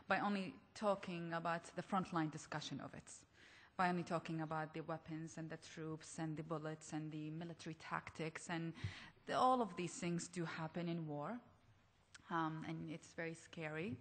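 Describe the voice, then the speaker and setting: A woman from Iraq addresses a conference panel with an earnest, personal tone. Her slightly low voice rings out across a large reverberant hall. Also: steady tone, normal speed. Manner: earnest, personal, rhetorical questions.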